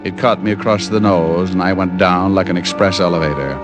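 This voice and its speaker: A cocky, wisecracking private detective, as an actor plays him in a vintage radio drama. His voice sits low, and his narration is dry and hard-boiled. Music plays behind him on the old broadcast. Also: steady tone, fairly quick, lightly acted. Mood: wry. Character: wisecracking tough male private eye, dazed. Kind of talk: 1940s radio drama acting.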